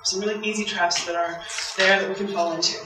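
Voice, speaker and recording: A soft-voiced woman from Canada, a waste campaigner giving a public talk, chatty and eager. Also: mid-range voice, fairly even intonation, quick pace, music in the background. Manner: chatty, eager, laughs often. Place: event hall. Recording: very echoey hall from far off.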